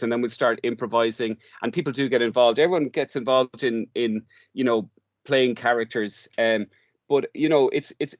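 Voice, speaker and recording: A man's voice: mid-range voice, dry recording, lo-fi sound. A man explaining his work in an informal interview, often restarting and repeating words as he goes. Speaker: drama workshop facilitator, interview guest.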